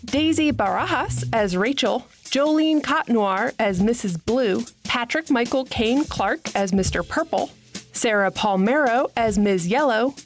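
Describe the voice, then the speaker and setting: Woman reading podcast credits, kinda high voice, soft music behind it. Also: lively intonation, unhurried. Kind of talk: scripted podcast credits read.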